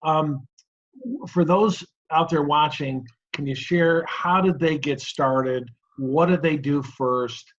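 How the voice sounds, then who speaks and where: Husky middle-aged man, slightly dull audio. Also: a little high, takes it slowly. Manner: breaks points into short phrases, uses personal anecdotes. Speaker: interview host. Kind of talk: conversational interview.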